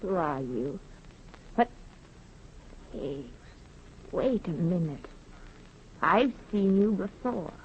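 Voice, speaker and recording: An actress playing a nervous, rough-spoken woman in a 1930s radio crime drama, brusque, jittery and stammering. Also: on the low side, lively intonation, takes it slowly, pauses often, heavily acted. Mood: wary. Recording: muffled 1930s radio recording.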